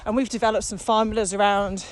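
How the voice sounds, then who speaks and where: A woman's voice: medium pitch, quick pace. A woman co-hosting a podcast, talking casually and enthusiastically as she walks outdoors. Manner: enthusiastic, animated about the subject. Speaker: podcast co-host and virtual training coach. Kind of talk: casual conversation recorded while walking. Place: outdoors, walking on a muddy path. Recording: outdoor background noise, faint traffic.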